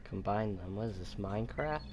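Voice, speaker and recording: A man's voice: fairly low pitch, animated, fairly quick, noisy background. A man giving casual, sarcastic, muttered commentary as he plays a video game. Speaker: gamer playing a horror game. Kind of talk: casual gameplay chat.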